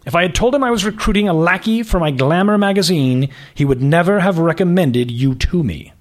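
A man's voice: sits high, a little fast, close to an everyday voice. A man playing a haughty aristocratic editor. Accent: upper-class East Coast with British touch.

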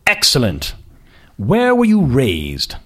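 A man reading the part of a haughty, commanding magazine editor with an aristocratic accent, speaking slowly and clipped. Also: mid-range voice, huge pitch swings, fairly bright tone, full character voice. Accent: upper-class East Coast with British touch.

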